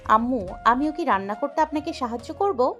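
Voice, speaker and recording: A woman acts out a naive, loving young Bangladeshi wife in an audio romance. Her voice is high, with a cooing, teasing manner over faint music. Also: animated, moderate pace, a bit bright. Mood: polite.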